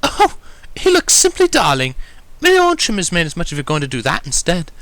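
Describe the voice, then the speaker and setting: Man putting on a fussy refined lady unicorn, high-pitched, swooping, genteel, theatrical, gushing storybook character. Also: wildly swooping pitch, fairly bright tone, dry recording. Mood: whimsical, delighted. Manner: theatrical, genteel, drawn-out emphasis.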